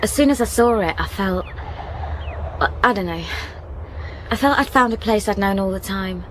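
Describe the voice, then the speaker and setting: An actress playing a recently widowed young woman in a radio drama, speaking softly and hesitantly, curious and tender. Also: medium pitch, lively intonation, measured pace, slight background noise, close to an everyday voice. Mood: wistful. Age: young adult. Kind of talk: naturalistic radio drama acting.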